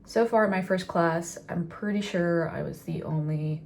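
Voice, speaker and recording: Young adult female, American accent, slightly low register; casual student-vlogger delivery. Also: normal speed, gravelly, a little mellow, slight background noise. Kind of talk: casual vlog chat with voice-over recaps.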